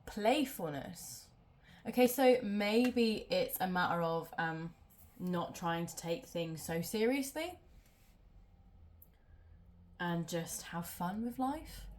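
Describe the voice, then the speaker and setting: A woman's voice: on the low side, animated, takes it slowly, darkish tone. Chatty woman with a stuffy nose. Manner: chatty, rambling, many ums. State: nasal from a cold. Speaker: tarot reader. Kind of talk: casual tarot reading to camera.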